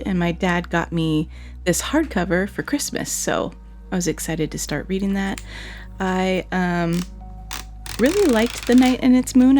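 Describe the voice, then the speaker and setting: A young woman vlogging casually at home, her voice a little hoarse and sore, excitable and laughing often. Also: on the low side. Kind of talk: casual vlog chat. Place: home, indoors.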